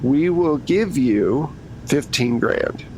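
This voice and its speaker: A man's voice: medium pitch, lively intonation, slow, darkish tone, a little hiss or hum, voice pushed up, low-quality recording. A man voicing a female government agent who bargains in a coolly controlled, businesslike way in a tabletop game.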